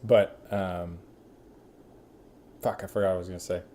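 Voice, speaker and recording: A man's voice: deep voice, steady tone, normal speed, warm tone, slight background noise. A young man co-hosting a podcast, chatting casually, swearing often and calling his friend dude. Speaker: podcast co-host. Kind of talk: casual chat.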